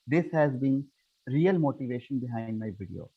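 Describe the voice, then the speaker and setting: A man from Jammu and Kashmir in India, speaking in measured sentences on an online panel and stumbling over words. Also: medium pitch, slightly rough, no room sound, phone-quality sound. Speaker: webinar panelist.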